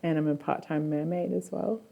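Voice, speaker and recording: A woman's voice: deep voice, fairly even intonation, normal speed, creaky, muffled recording. A young Australian woman from Queensland talking in a documentary interview in a chatty, playful way, full of "like". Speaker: multi-instrumentalist band member.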